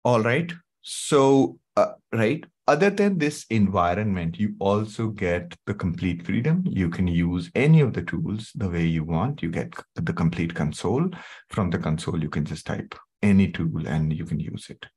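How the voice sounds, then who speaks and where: A man's voice: expressive, normal speed, darkish tone, husky. A middle-aged man with an Indian English accent, presenting a tech webinar in a soothing, conversational way with frequent "okay"s. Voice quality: soothing, low voice. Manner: conversational, many fillers like "okay" and "right". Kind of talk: live online tech webinar.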